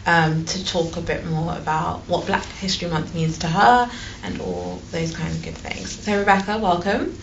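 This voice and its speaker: Woman interviewer, lowish voice, inquisitive. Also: lively intonation, normal speed, hardly any pauses, dull audio, slight background noise. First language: not English. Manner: inquisitive, hesitant with ums. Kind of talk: friendly interview questions.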